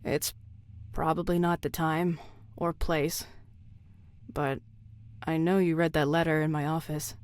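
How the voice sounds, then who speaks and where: An actress playing a caring but guarded, practical woman in a video game drama, with measured, understated delivery. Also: sits low, flat delivery, darkish tone. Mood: tender, candid.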